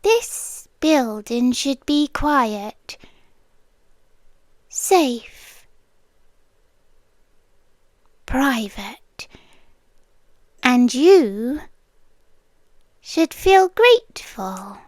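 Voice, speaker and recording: A woman's voice: high voice, big pitch swings, very slow, long pauses, no room sound, exaggerated voice. A British woman playing a possessive, sweetly menacing landlady girlfriend in an intimate ASMR roleplay, coaxing and controlling, often dropping into whispers. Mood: calmly obsessive.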